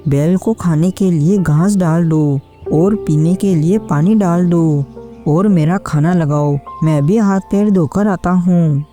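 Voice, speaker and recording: A woman's voice: fairly low pitch, slow, warm tone. A woman voicing a hardworking, kind-hearted farmer husband in a cartoon story, speaking in an earnest, plaintive way. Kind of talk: scripted cartoon story acting. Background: background music.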